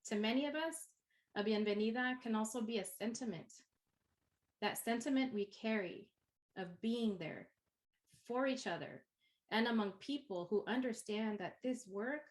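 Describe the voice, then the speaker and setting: A Chicana woman from East San Jose, a university instructor whose first language is Spanish, giving a poetic spoken-word welcome address in English laced with Spanish. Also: medium pitch. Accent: Chicana English with Spanish code-switching. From East San Jose, California. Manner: poetic, rhythmic, unhurried phrasing.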